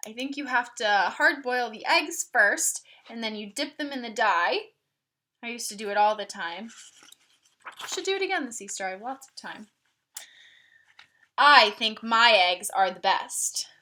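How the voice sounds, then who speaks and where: A woman's voice: fairly high pitch, lively intonation, measured pace, pauses often, bright, forceful tone. A woman reading a picture book aloud to children, adding simple explanations and questions for the listeners like a teacher. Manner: instructive, asking listeners questions. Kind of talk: storybook read-aloud with explanatory asides.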